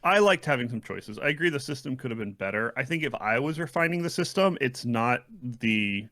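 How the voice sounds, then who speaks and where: A man co-hosting a gaming podcast, talking casually and analytically in a measured, reflective manner. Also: medium pitch, expressive, bright, forceful tone. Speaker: podcast co-host. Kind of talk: casual analytical game discussion.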